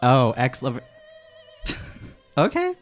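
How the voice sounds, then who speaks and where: Low-voiced woman, unhurried, at a game table. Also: huge pitch swings, soft background music. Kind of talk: casual game chat.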